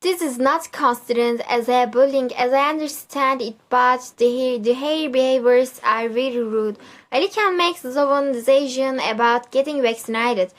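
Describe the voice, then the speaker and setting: A young female student with a Turkish accent presents her opinion. Her voice is very high. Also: normal speed. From Turkey. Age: teens. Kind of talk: prepared opinion statement.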